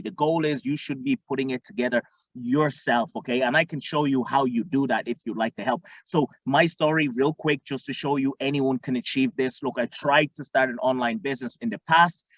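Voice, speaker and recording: A man from Tanzania, a marketing coach, teaching in an energetic way and often checking in with the listener. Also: medium pitch, dry recording. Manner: energetic, repeats "okay?" often. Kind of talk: instructional screen-share talk. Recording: dull lo-fi dry sound.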